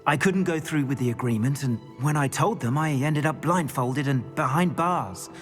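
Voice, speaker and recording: An actor playing an anxious, earnest older wizard, a metal trader in a video game. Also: male voice, fairly high pitch, moderate pace, natural voice. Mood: relieved, grateful. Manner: earnest, expressive, rueful. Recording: game music behind the voice.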